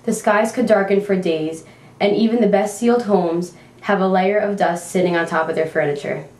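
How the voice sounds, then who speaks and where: A woman's voice: mid-range voice, moderate pace, darkish tone. Female reporter, scripted amateur news, mid-range voice, far from the microphone. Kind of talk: scripted amateur mock newscast. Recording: echoey room, distant mic.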